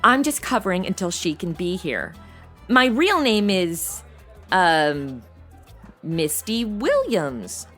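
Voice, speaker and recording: A woman's voice: fairly low pitch, big pitch swings, slow pace, a bit bright, soft background music, heavily acted. A woman playing a brash, sarcastic young temp posing as a showgirl, talking in blunt modern slang in a noir comedy audio drama. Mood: bluffing.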